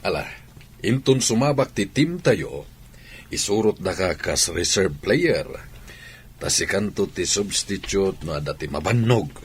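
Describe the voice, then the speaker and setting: A man's voice: low voice, animated, unhurried. A man playing an authoritative, encouraging basketball mentor in an Ilocano radio drama. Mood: instructive. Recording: noisy background ambience.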